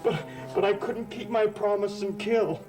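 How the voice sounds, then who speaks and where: An actor playing a guilt-ridden, gentle man of a cursed family in a gothic soap opera, stammering through his confessions. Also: high voice, normal speed, over music, lightly acted. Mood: guilty, regretful.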